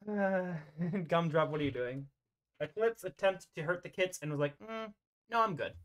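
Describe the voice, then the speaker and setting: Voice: mid-range voice, moderate pace. A game master casually narrating an online roleplay over a voice call, in an informal, hesitant way.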